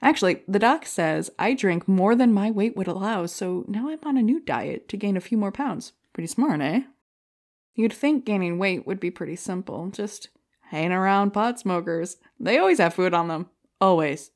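A woman's voice: medium pitch, expressive, normal speed, lightly acted. Brash, self-deprecating stand-up comedienne played by a woman. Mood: wry, joking. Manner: jokey, rhetorical, punchline-driven delivery. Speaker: comedy contest contestant. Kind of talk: stand-up comedy routine in an audio drama.

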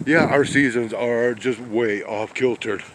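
A man's voice: mid-range voice, slow pace. A man talking casually while he walks small-town streets outdoors in the snow, with rambling, folksy exclamations into a handheld phone. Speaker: vlogger on a weather walk. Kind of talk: casual walking commentary. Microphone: handheld phone camera. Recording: wind noise on the mic.

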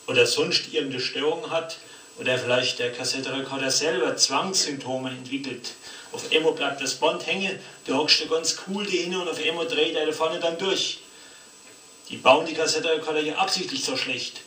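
A man's voice: fairly high pitch, moderate pace, bright, forceful tone. A man giving a colloquial, rambling comic monologue with tag questions, played from a cassette recorder. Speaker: poetry slam performer. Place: cassette playback in a cinema hall, picked up from the audience. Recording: distant, echoey, faintly noisy cassette playback in hall.

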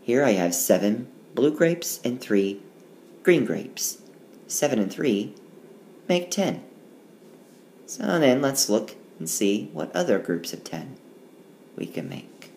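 A male teacher reading a rhyming picture book aloud and walking through the math, recorded as a tablet screencast voice-over. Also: a little hiss or hum. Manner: slow, sing-song rhyming read-aloud with lively pitch.

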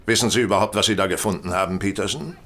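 An actor playing a shrewd, self-assured gentleman detective, speaking eloquently and courteously in an audio drama. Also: on the low side, racing, a little hiss or hum, lightly acted. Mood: impressed, emphatic. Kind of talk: German audio drama acting.

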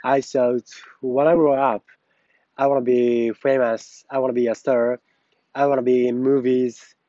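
Man, forties, reciting a speech from memory, mellow voice, dry slightly muffled sound. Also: mid-range voice, flat delivery, a little slow, no room sound. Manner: recites from memory, repeating and correcting phrases. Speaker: English learner reciting a speech. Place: beside a plain wall with a vent.

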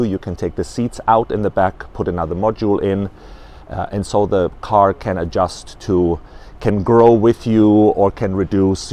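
A man's voice: low-pitched, mellow. A man in his forties at a trade fair, walking through a camper van and explaining its fittings, with many hesitant fillers, on a lapel mic in a large exhibition hall. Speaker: company representative at a trade fair stand. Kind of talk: product walkthrough.

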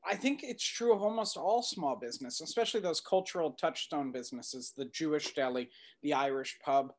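Halting middle-aged man, slightly high voice. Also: expressive. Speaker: documentary filmmaker being interviewed. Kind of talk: conversational interview answers. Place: indoor room on a video call. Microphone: computer mic on video call.

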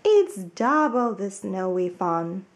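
A woman's voice: medium pitch, big pitch swings, slow. A woman voicing a young male mole who is sick with a cold, speaking in a sad, sniffly way in a storybook reading. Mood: happy.

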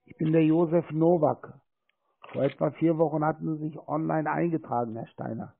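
A man's voice: a little high, steady tone, normal speed, vocal fry. A man making a cold sales call over the telephone. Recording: noisy narrowband phone line.

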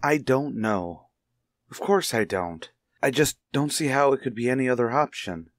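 A man playing a troubled, apologetic family friend in a radio play, speaking haltingly. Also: medium pitch, expressive, no room sound, studio quality, natural voice. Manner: halting, hesitant.

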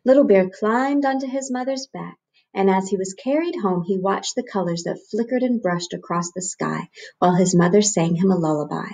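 A woman's voice: mid-range voice, normal speed, gravelly, a little mellow, slight background noise. A woman, narrating a picture book expressively for children as a storyteller. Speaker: read-aloud host, storyteller. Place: small room at home, on a couch.

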